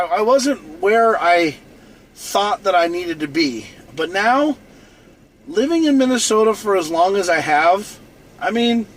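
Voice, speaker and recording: A man in his forties from North Dakota, hosting a live stream in a rambling, repetitive casual monologue, often restarting and repeating phrases. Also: high-pitched. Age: 40s. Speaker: livestream host. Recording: noisy outdoor traffic behind. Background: car and street noise.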